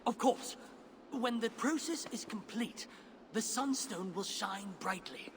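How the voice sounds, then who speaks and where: An actor playing an older dwarf smith, learned and earnest, speaking formally and with nervous deference in a video game. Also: male voice, high voice, slow, slight background noise. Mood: deferential. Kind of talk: video game cutscene acting.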